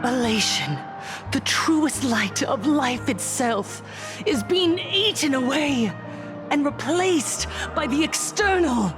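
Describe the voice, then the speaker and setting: A woman's voice: high voice, lively intonation, over music. A woman playing a fervent cult preacher in a game, delivering a solemn, rousing, theatrical sermon about happiness. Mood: grieving, indignant. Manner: solemn, measured, rousing oratory. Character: fervent female cult preacher promising happiness, smiling and zealous.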